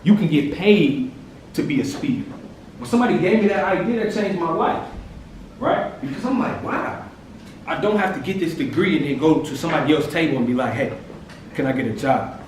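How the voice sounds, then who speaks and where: A man with a deep, powerful voice giving a motivational speech, checking in with the crowd often. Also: animated, brisk, a little mellow. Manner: punctuates lines with "right?". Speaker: motivational speaker. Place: large echoey hall. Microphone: distant from the recording mic. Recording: echoey hall from far off, light noise.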